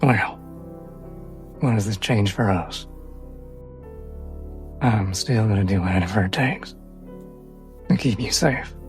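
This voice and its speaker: A man playing a devoted, stubborn male protector in a tabletop game, speaking in a hushed, earnest way. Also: fairly low pitch, takes it slowly, pauses often, faint music behind. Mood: grave, devoted.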